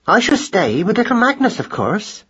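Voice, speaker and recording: A man voicing a bossy, protective mother mouse in a children's audiobook, curt and sharp-tongued. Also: high-pitched, sing-song, moderate pace, slightly rough, muffled recording, pitched above the performer's own voice, exaggerated voice. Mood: indignant. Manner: curt, icy to her husband, soothing to her child.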